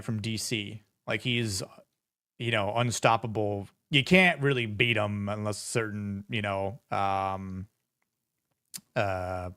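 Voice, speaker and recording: A man with a low, smooth voice talks casually on a livestream, wandering through his thoughts with lots of fillers and restarts. The sound is dry and close, with no room around it. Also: fairly bright tone, no echo. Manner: rambling, many fillers and restarts. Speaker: streamer and show host.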